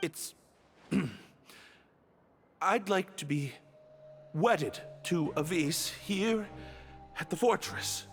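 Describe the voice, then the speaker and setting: A high-pitched earnest young man in love, from a video game. His intonation moves a lot and he sounds a touch hesitant. Long reverb trails the voice, with music behind. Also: animated, very slow, long pauses, heavily acted. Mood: nervous. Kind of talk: game cutscene acting.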